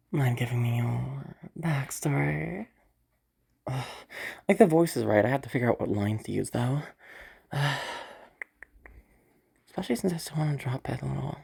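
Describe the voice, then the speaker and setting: A woman's voice: sits low, creaky, warm tone, husky, heavily acted. A woman voicing a cold, calculating rich sociopath who mutters her schemes to herself with dry laughs, frequent whispers and swearing. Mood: mock-sweet, then scheming. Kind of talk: audio roleplay monologue.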